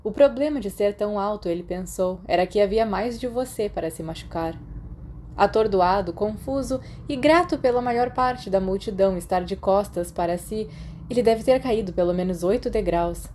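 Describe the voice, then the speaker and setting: A woman's voice: mid-range voice, moderate pace, darkish tone, slight background noise. A woman with a Brazilian accent reading a story as a scripted narration. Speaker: podfic narrator.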